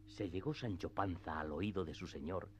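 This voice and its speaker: A man's voice: low-pitched, normal speed, a little hiss or hum. A man narrating a literary radio drama from a script in an archaic storytelling style.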